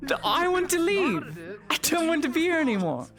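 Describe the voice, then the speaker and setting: A woman's voice: very high voice, very animated, brisk. A woman playing a cheeky young townswoman in an online fantasy roleplay, jokey and swooping from mumbles to squeals. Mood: flustered, amused. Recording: game chat with music behind.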